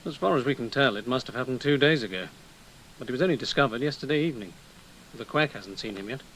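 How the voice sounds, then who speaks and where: An actor playing a grave intelligence official in a radio drama, briefing tersely. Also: male voice, mid-range voice, animated, fast talker, a bit bright, slight background noise, rough recording. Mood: grave, urgent.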